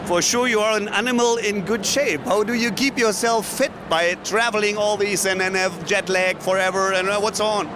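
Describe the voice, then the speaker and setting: High-voiced hesitant male interviewer. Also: moderate pace, hardly any pauses, background noise. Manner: hesitant, apologetic, restarts questions. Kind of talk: casual interview questions.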